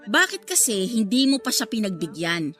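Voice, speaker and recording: A woman's voice: mid-range voice, big pitch swings, moderate pace, fairly bright tone, faint music behind. A woman playing a blunt, brazen female friend in a Filipino radio drama, scornful and confrontational. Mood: annoyed, challenging.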